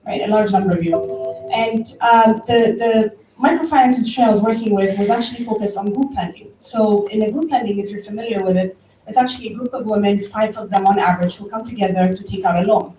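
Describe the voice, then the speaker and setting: A woman's soft-edged, mellow voice comes from a little distance in a reverberant room. She is from Ethiopia and speaks as a presenter at an event, informally and conversationally. She tells anecdotes and turns to her listeners directly, over a slight background noise. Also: medium pitch, fast. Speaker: conference speaker, tech company director. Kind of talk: informal public talk with storytelling. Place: event room, before an audience. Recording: roomy, distant mic, faint background noise.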